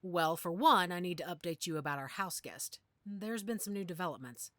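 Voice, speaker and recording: A woman's voice: on the low side, moderate pace, a bit bright, no room sound, studio quality, close to an everyday voice. A woman acting a composed, inquisitive female werewolf pack leader in an audio drama. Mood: concerned.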